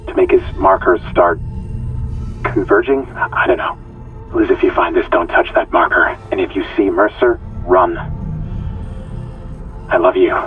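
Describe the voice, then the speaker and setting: Game role: man voicing scared, bitter male prisoner, a mining engineer, very low voice, muffled log recording. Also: close to an everyday voice. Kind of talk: video game audio log acting. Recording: lo-fi audio log.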